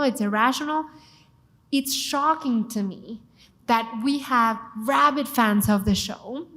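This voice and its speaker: A woman with a Mexican accent talks with enthusiasm and emphasis. Her voice sits fairly high. She speaks as a guest in a panel conversation. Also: fairly bright tone. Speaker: TV cooking show host, guest.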